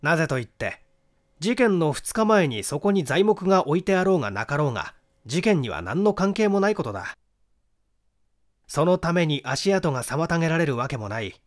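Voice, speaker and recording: Male audiobook narrator, lightly high-set voice, very varied intonation, dramatic literary mystery reading. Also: very animated, normal speed.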